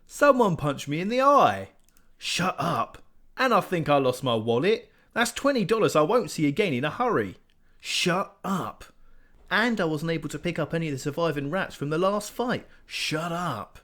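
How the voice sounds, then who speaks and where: Reader as a gruff, whiny rat catcher, animated. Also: male voice, on the high side, moderate pace, pitched above the performer's own voice. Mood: grumbling. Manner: gruff, snappish. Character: grumbling rat catcher, middle-aged man, surly.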